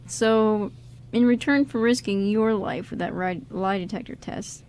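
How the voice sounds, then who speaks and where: A woman's voice: medium pitch, a little slow, darkish tone, lightly acted. A woman playing a guarded, self-doubting male con artist in an amateur radio drama, speaking casually and a little hesitantly. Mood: reflective. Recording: background noise in amateur radio recording.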